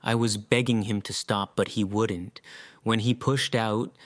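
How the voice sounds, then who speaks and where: A man acting an earnest, guilt-ridden survivor in an audio drama, with halting, emotional delivery. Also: lowish voice, fairly even intonation, dry recording, lightly acted. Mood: distraught.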